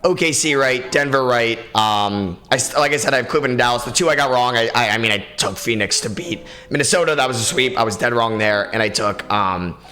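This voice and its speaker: A young man with a raspy, tired voice, hosting a solo sports podcast in a casual, opinionated way with many fillers. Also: mid-range voice, fairly quick, hardly any pauses, sharp tone, soft background music. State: tired, short on sleep. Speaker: podcast host.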